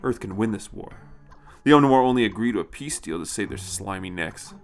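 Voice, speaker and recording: A man acts out a villainous space colonel from an audio sci-fi story. He is sneering, fanatical and menacing, delivering his lines with deliberate contempt. The pitch swings widely, and music plays faintly behind. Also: lively intonation. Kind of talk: audio short story character.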